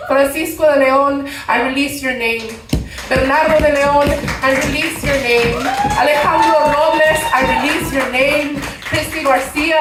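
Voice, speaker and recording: A woman in her thirties performing spoken word poetry live, emphatic, with deliberate repeated phrases. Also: sits high. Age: 30s. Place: room at a live poetry event. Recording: roomy, distant mic, faint background noise.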